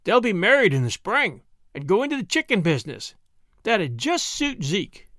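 Man doing a teasing young soldier, high voice. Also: big pitch swings, moderate pace, bright, forceful tone, dry recording, studio quality, exaggerated voice.

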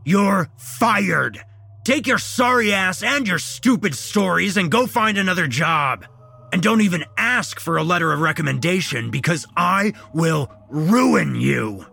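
A man voicing a gruff, impatient, bullying boss in a dramatized story, curt and domineering. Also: mid-range voice, expressive, measured pace, fairly bright tone, soft background music, pitched above the performer's own voice, full character voice. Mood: enraged. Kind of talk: dramatized short story reading.

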